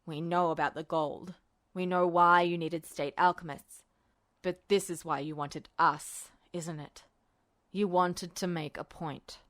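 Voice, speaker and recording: A woman voicing a quiet, guarded military man in an audiobook story, tense and measured. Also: fairly low pitch, fairly even intonation, pitched below the performer's own voice. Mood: tense accusation. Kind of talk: audiobook-style character acting.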